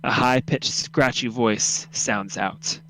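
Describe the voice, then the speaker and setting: A man's voice: mid-range voice, fairly even intonation, slow, gravelly, a bit bright, rough recording. A man narrating a second-person horror story as a live radio drama read-through.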